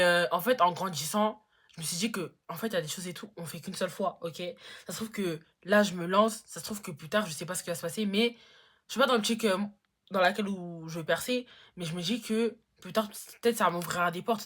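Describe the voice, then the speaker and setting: Chatty teenage girl, lower voice. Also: fairly bright tone. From Congo-Brazzaville. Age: teens. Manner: chatty, lots of fillers, slangy. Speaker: YouTuber. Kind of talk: casual Q&A vlog.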